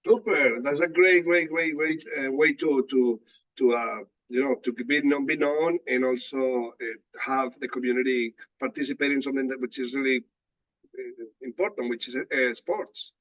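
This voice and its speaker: A man's voice: medium pitch, fairly even intonation, moderate pace, fairly bright tone, lo-fi sound. A middle-aged man from Colombia with a Spanish accent, hosting a casual meeting and repeating words in an encouraging way. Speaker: host. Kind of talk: casual video-call meeting.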